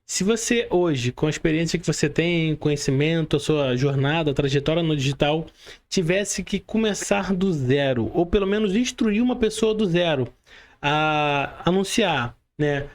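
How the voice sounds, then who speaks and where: High-pitched Brazilian man hosting a podcast. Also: moderate pace. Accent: Brazilian Portuguese. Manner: explanatory, frequent tag questions seeking agreement. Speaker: podcast host. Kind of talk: conversational interview talk.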